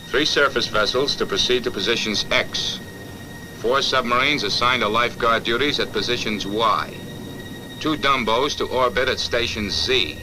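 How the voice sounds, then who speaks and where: A man's voice: medium pitch, normal speed, over music, poor audio. A man formally reading out military orders in a terse, procedural way, on a vintage 1940s film soundtrack. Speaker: military briefing narrator.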